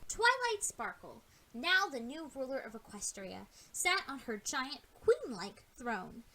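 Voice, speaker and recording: A woman's voice: sits high, very animated, measured pace, bright, forceful tone, low-quality recording. A woman narrating a scripted fan audio story in a dramatic storytelling style.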